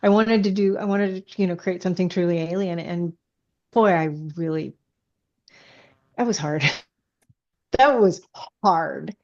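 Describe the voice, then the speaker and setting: A woman's voice: on the low side, a little slow, pauses often, a little mellow, highs cut off. A woman speaking as an interviewed author, chatting informally and telling stories with frequent asides. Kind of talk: casual conversational interview.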